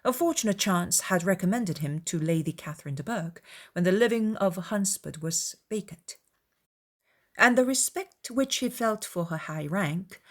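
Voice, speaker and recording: A woman narrating a classic novel as an audiobook, in a measured, formal storytelling manner. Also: on the low side. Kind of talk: dramatized literary narration.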